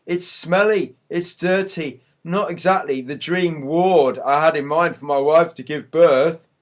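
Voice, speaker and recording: A man's voice: fairly high pitch, a little slow, natural voice. A man playing an aggrieved, down-on-his-luck young husband-to-be, grumbling chattily in a mock news interview. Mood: indignant, disgusted. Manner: chatty, grumbling, rhetorical questions.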